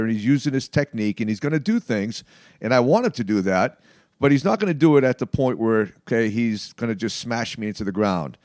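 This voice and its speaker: A man in his late fifties speaking conversationally in an interview, in a halting, emphatic way with frequent check-ins. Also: fairly low pitch, darkish tone, no echo, clean studio sound. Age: late 50s. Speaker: martial arts practitioner being interviewed.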